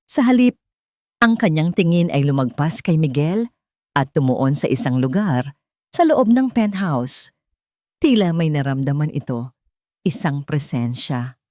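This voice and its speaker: A woman's voice: low voice, lively intonation, measured pace, long pauses, dark tone, dry recording. A woman storyteller reading a scripted romantic drama as narration.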